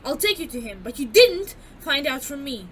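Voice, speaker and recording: Bit part: actress as a cornered street snitch, high and jumpy, shouts her protests. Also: very animated, moderate pace, a little hiss or hum. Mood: panicked. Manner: snappish and panicky, yelling. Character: scared, defiant female street informant. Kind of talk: audio drama acting.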